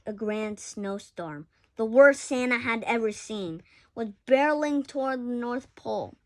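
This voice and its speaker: A woman's voice: on the high side, lively intonation, a little slow. A woman reading a children's picture book aloud expressively, with occasional stumbles and self-corrections. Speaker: story reader.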